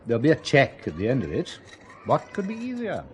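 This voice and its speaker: A smooth, evasive spy official in an audio drama. His medium voice is animated yet formally worded and persuasive. Faint noise sits behind him. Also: medium pitch, brisk. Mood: smooth, coaxing.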